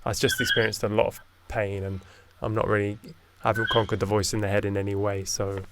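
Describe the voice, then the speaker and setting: A man interviewing in a casual conversation, deep-voiced, soft-spoken and hesitant, often trailing off mid-thought. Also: flat delivery, fast, heavy background noise.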